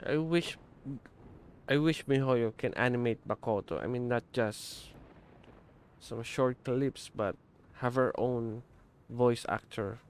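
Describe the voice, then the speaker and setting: A man giving casual live reaction commentary as a game streamer, in short, simple remarks with pauses. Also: mid-range voice, clear, resonant tone. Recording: game music behind the voice.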